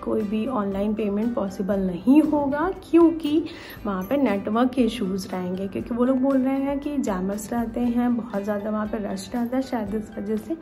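A woman's voice: on the high side, moderate pace, mellow. A young woman from vlogging casually at home, explaining things step by step with many asides, speaking to a camera on a table. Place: small indoor room at home. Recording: music playing behind voice.

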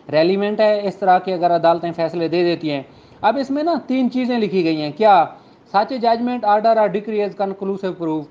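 High-pitched Pakistani man giving a lecture. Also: a little mellow. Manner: didactic, switching between Urdu and English legal terms. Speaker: law lecturer. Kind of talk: explanatory lecture, reading statute aloud and explaining it. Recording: dull lo-fi recording.

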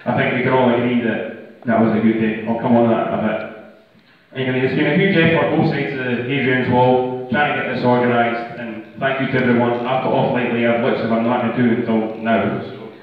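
A man's voice: medium pitch, steady tone, quick pace. A young Scottish man giving an informal, joking best man's speech at a wedding, with casual swearing and banter. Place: large function room at a wedding reception. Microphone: handheld PA microphone, filmed from the audience. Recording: echoey hall from far off, muffled, crowd noise. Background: wedding guests' chatter and laughter.

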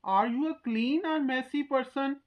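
A woman voicing a friendly cartoon boy who asks clear, simple questions in a slow scripted practice dialogue. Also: high voice, lo-fi sound, a little hiss or hum, lightly acted.

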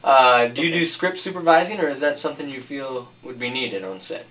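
A man's voice: mid-range voice, brisk, a bit bright, distant mic, low-quality recording. A man working as an interviewer, putting questions to film cast and crew on camera.

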